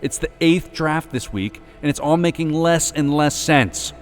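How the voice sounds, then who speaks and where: A man's voice: fairly high pitch, a little slow, soft background music, pitched above the performer's own voice, natural voice. A man voicing Ross, a brash, insulting male skeleton actor in a storybook reading. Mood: bossy. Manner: pushy, sarcastic, scolding. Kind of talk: storybook character voice.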